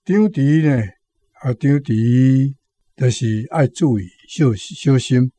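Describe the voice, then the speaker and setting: Male radio host, Taiwanese accent, dark relaxed tone, unhurried explanations, dry close sound. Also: medium pitch, no echo. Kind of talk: explanatory commentary on Taiwanese vocabulary.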